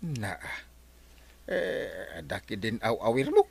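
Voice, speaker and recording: An actor playing a brash, joking husband who shouts and mocks in a radio comedy drama. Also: male voice, fairly low pitch, wildly swooping pitch, slow, gravelly, husky, heavily acted. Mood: bantering. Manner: loud, swooping exclamations.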